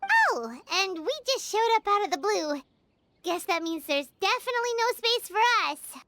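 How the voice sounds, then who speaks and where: Anime game sidekick: tiny excitable fairy, extremely high, bright, childlike and chirpy. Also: female voice, moderate pace, dry recording, full character voice. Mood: disappointed. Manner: excitable, blunt, refers to self by name.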